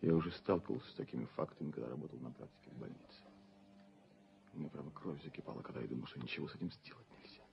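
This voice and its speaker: A low, creaky, appalled young doctor. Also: male voice, very fast, slight background noise, heavily acted. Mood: quiet disgust. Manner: grave, indignant, restrained. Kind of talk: radio play acting. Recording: muffled 1970s radio play recording.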